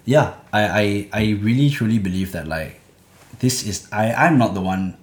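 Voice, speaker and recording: Man, Singaporean accent, passionate, halting restarts, lowish voice, echoey room. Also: expressive. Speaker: hip hop artist. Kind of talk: podcast conversation.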